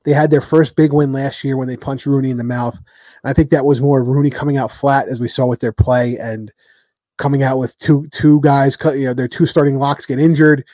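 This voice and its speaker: A man's voice: medium pitch, steady tone, a little fast, dark tone, no echo. A man hosting a podcast, rambling through a rugby preview with lots of ums and joking trash talk. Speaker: podcast host. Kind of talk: casual rugby chat.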